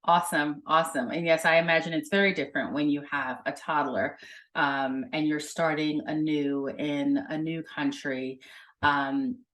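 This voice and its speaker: Low-voiced enthusiastic podcast host. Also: female voice, steady tone, moderate pace, fairly bright tone. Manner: enthusiastic, chatty, many fillers. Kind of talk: interview hosting.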